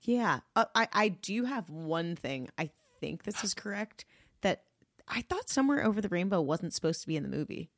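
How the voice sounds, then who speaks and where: Lively, playful young woman. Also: on the low side, no echo. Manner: reactive, quick to gasp and laugh, playful teasing. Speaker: podcast co-host. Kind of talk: casual chat.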